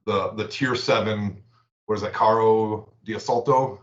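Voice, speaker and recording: Low-voiced man in an echoey room. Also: fairly even intonation. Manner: halting, repeats words, reads out stats. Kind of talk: casual livestream chat. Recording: echoey room, dull lo-fi audio.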